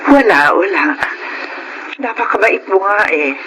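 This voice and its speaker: High-pitched apologetic older tricycle owner. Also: male voice, very animated, a little slow, slight background noise, exaggerated voice, low-quality recording. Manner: gentle, apologetic. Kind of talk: Filipino radio drama acting.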